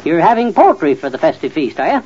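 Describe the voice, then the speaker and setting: An actor playing a blustery, folksy, know-it-all middle-aged husband in an old-time radio comedy. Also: male voice, fairly high pitch, sing-song, fast, darkish tone, breathy edge. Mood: folksy, explaining. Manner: folksy, boastful, muttering and sputtering. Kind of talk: 1940s-50s radio comedy acting. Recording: muffled old radio recording.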